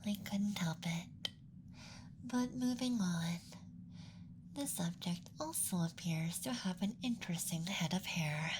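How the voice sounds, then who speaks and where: A man voicing a playful young alien abductor in a whispered ASMR roleplay, speaking softly in a teasing, clinical manner. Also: high voice, unhurried, background noise. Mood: curious, clinical.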